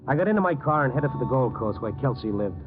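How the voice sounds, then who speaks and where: A man's voice: medium pitch, lively intonation, quick pace, natural voice. An actor in an old radio drama playing a tough, wry newspaper reporter who narrates his own case. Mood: tense, brooding. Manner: wry, hardboiled. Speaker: narrator and lead. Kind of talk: 1940s-1950s radio acting, first-person narration. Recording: muffled old radio with music behind.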